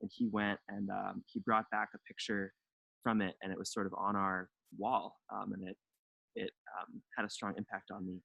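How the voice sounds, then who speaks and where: A young man from North Carolina, introducing himself as a delegation co-leader in a relaxed, hesitant webinar talk full of fillers. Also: fairly low pitch, moderate pace, creaky, slightly rough, dry recording, lo-fi sound. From North Carolina, United States.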